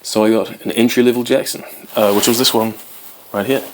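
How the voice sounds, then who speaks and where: A man's voice: mid-range voice, fairly even intonation, fairly quick, a little hiss or hum, low-quality recording. A man in his twenties, born in Wales, chatting casually to camera as host of his guitar channel, rambling with many ums. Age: 20s.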